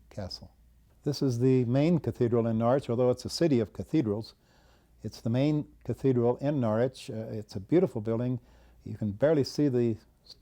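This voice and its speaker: Elderly American man, mellow dark voice. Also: mid-range voice. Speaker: war veteran, former air traffic controller. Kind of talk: recounting wartime memories and describing photographs.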